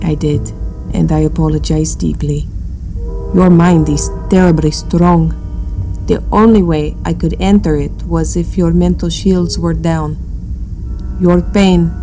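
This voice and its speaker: A low, soft-edged voice for a female alien ghost acted by a woman in a space audio drama. She is honorable and sorrowful, speaking with solemn earnestness. Faint music sits behind her.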